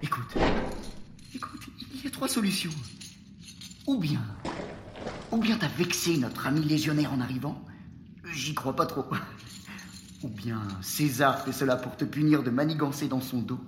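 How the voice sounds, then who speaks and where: An actor playing a taunting, death-obsessed prisoner of war in a Roman dungeon, insidious and insistent, in an audio drama. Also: male voice, medium pitch, animated, roomy sound, background noise, lightly acted. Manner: insidious, insistent, halting with repeated phrases. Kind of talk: audio fiction acting.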